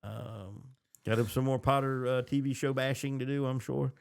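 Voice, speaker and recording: A man's voice: medium pitch, fairly even intonation, normal speed, gravelly. A man co-hosting a podcast, chatting casually with a wry, joking manner. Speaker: podcast co-host. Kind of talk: casual chat.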